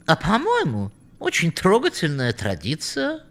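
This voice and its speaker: A woman voicing a bossy, sentimental housekeeping owl in a cartoon, expressive and scolding in a singsong way. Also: fairly low pitch, measured pace, slight background noise, exaggerated voice. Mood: touched. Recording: loud music behind the voice.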